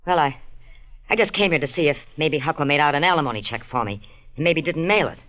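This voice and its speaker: A slangy, desperate dame on the run in an old radio play. Her voice is sharp and defensive, on a muffled vintage recording. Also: low voice, lively intonation, fast. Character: tough, streetwise, desperate young female fugitive. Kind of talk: 1940s radio crime drama acting.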